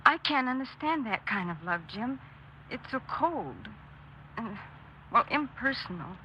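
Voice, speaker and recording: A woman's voice: fairly high pitch, animated, measured pace. An actress playing an earnest, troubled young girlfriend in a 1950s radio drama, speaking hesitantly. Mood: hurt, anxious. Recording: muffled 1950s radio broadcast, faint noise.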